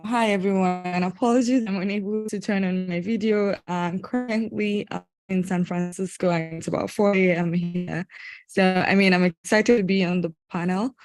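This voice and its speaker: A woman startup CEO introduces herself on an online panel in an upbeat, brisk way. Her voice is slightly low. The sound is very dry, with no room around it. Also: a little mellow, dry recording. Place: home in the early morning hours.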